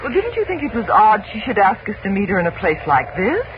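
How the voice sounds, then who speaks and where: A woman's voice: medium pitch, wildly swooping pitch, fast talker, a little hiss or hum. An actress playing a fretful, easily alarmed woman in a 1940s radio drama, heard on a muffled old broadcast recording. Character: anxious adult woman, mother of the two youngsters.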